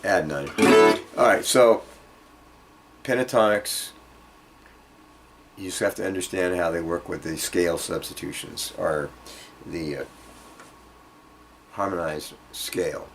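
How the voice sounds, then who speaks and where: A man giving an informal guitar lesson, speaking haltingly as he thinks aloud and demonstrates. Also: sits low, gravelly. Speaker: guitar teacher. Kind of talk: informal instructional music lesson. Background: acoustic guitar playing.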